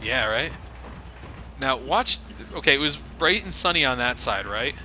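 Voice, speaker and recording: Bright, lively man mocking a game. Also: medium pitch, a little slow, a little hiss or hum. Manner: sarcastic, laughing at the game. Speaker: let's play commentator. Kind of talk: casual game commentary.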